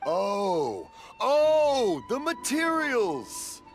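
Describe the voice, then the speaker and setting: A man's voice: very high-pitched, wildly swooping pitch, very slow, soft background music, exaggerated voice. A man playing a kindly, muddled, hard-of-hearing old father in a video game, genial and given to baffled exclamations. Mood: baffled, delighted.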